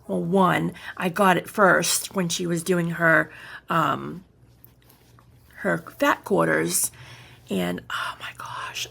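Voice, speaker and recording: Slow chatty craft lady, bit raspy n creaky, halting show and tell style. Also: on the low side, fairly bright tone. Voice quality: slightly raspy morning voice. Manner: unhurried, chatty, halting as she shows items. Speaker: craft vlogger.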